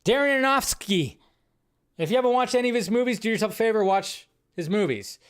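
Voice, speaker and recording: A man with a somewhat high voice hosts a solo live stream. He talks loosely and thinks out loud, often restarting his sentences. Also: expressive, fast talker, smooth. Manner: rambling, thinking aloud with restarts. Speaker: host.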